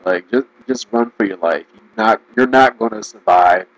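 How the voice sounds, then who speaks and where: A man giving casual, rambling commentary, restarting sentences and laughing often, his voice going hoarse. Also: on the low side, moderate pace, no room sound, low-quality recording. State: losing his voice. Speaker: video commentator.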